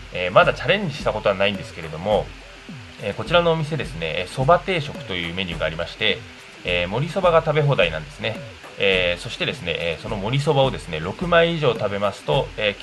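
A man presenting a food report on radio, speaking politely and in measured phrases from semi-prepared notes. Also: low-pitched, fairly bright tone.

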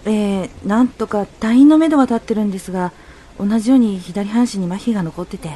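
A woman's voice: medium pitch, fairly quick, warm tone, natural voice. A woman playing a polite, subdued, worried working wife in an amateur radio drama.

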